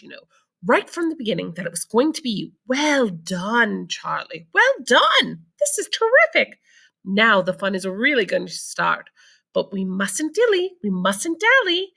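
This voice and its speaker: A woman's voice: mid-range voice, gravelly, bright, forceful tone, full character voice. A woman voicing an eccentric, cheerful male candy maker with bubbly, theatrical exclamations in a read-aloud storybook.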